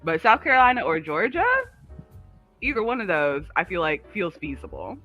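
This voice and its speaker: Bright, higher-pitched man chatting over music. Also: sing-song, moderate pace, muffled recording. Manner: chatty, digressive, talks to live viewers. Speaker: livestream co-host. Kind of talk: rambling casual chat.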